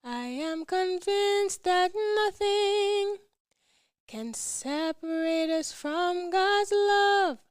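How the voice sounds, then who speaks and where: Very high, slow woman singing. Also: no echo, clean studio sound. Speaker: singer. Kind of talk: devotional song, sung. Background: faint music.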